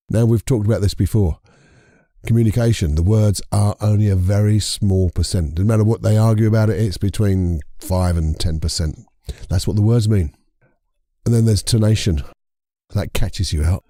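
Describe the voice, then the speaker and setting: A man with a croaky voice hosting a casual solo podcast, chatty and rambling, correcting himself as he goes. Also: lowish voice, dark tone, no echo, clean studio sound. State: croaky voice from a lingering cold. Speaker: podcast host and hypnotherapist.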